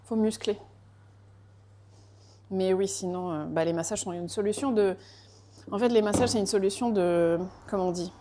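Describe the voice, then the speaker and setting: A woman's voice: mid-range voice, normal speed, long pauses, noisy background. A woman raised in France, chatting casually with live viewers while she bakes, greeting them by name and laughing often.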